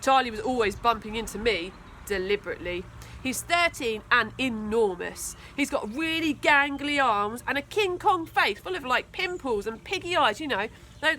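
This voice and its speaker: A woman's voice: high-pitched, expressive, normal speed, sharp tone, slight background noise. A woman reading a children's book aloud, narrating expressively like a school storyteller.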